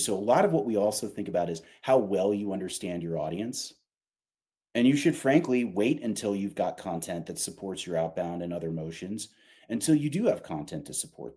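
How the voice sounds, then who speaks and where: A man's voice: lowish voice, warm tone, husky. A man talking informally and emphatically, explaining his company's product in a conversational way with casual asides.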